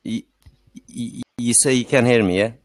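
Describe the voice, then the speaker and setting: A man from Greece with a Greek accent, calling into a casual live chat in halting non-native English. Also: medium pitch, fairly even intonation, no room sound. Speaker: caller joining a live chat. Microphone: video call.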